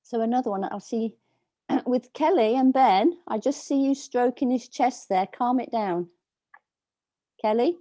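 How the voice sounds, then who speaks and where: A woman dog trainer giving slow, soothing instructions over a video call, with a calming voice. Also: on the high side, no echo. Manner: soft, slow, soothing coaching. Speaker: dog trainer leading a workshop.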